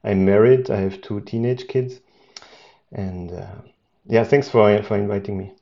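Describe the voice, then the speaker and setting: Man, 40s, guest, halting and full of fillers, low gravelly voice. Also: fairly even intonation, dull audio. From Austria. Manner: hesitant, many fillers and restarts.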